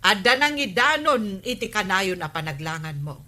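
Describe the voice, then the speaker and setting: An actress playing a village captain's suspicious, sharp-tongued wife in an Ilocano radio comedy, nagging and probing emphatically. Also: female voice, mid-range voice, sing-song, moderate pace. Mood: angry, accusing.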